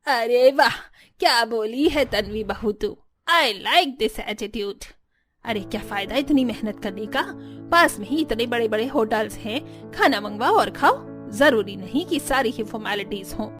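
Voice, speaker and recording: A woman voicing a brash aunt-in-law with a casual, approving manner in an animated family story. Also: fairly high pitch, measured pace, over music. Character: brash aunt-in-law who cheers on laziness.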